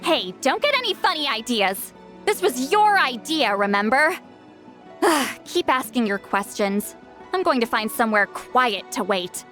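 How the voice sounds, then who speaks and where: A woman's voice: high-pitched, very animated, unhurried, fairly bright tone, heavily acted. An actress playing a blunt, aloof shaman woman in a video game, curt and dry in manner. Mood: irritated. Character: ancient but youthful-sounding shaman woman, blunt, aloof, prickly. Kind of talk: English video game voice acting. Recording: faint background music under the voice.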